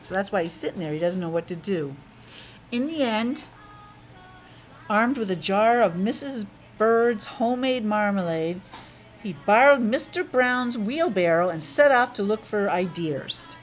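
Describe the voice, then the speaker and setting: A woman reads a children's story aloud with very animated pitch, swinging up and down as she goes. She often drops into casual, chatty asides to explain things or ask the young listeners questions. Music plays along with her voice. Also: a little slow, low-quality recording. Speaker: teacher reading a picture book aloud to children.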